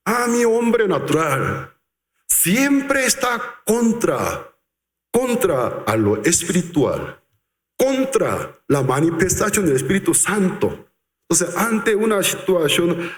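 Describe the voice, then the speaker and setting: Male pastor, unhurried lively sermon delivery, reverberant church hall sound. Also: fairly high pitch. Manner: animated, acts out quotes, slows for emphasis.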